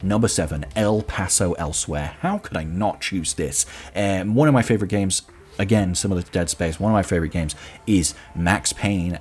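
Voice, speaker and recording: A man hosting a podcast, giving an enthusiastic, emphatic countdown review in a conversational style. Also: sits low. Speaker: podcast host.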